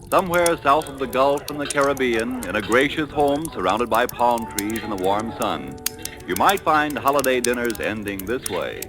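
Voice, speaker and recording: A man's voice: medium pitch, expressive, moderate pace, over music. A man with an American accent reading a scripted 1940s radio commercial as announcer. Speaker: advertising announcer. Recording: faintly crackly vintage radio recording.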